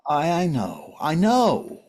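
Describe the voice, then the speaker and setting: An actor playing a grieving, pleading widower and recovering addict in a drama reading, speaking earnestly and haltingly. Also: male voice, a little high, wildly swooping pitch, a little mellow, heavily acted. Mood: earnest, consoling. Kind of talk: script reading of a stage drama.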